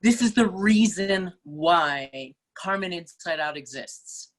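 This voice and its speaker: A middle-aged woman opera singer, speaking as a guest in a relaxed interview, thoughtful and full of small restarts and fillers. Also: lowish voice, slow. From Malaysia. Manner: thoughtful, many restarts and fillers, laughs easily. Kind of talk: casual interview chat.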